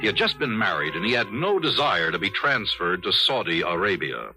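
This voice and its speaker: A man's voice: low-pitched, very animated, a little fast, music in the background. An actor playing a pompous American army colonel who narrates his account in a classic radio drama, officious and clipped. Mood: brisk, narrating. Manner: officious, clipped military delivery.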